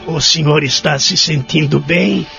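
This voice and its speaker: An actor playing a humble, lonely elderly Brazilian man in a radio drama, formal, sincere and deliberate. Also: on the high side, vocal fry, over music. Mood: caring. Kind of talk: radio drama acting and narration. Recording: dull lo-fi audio drama recording.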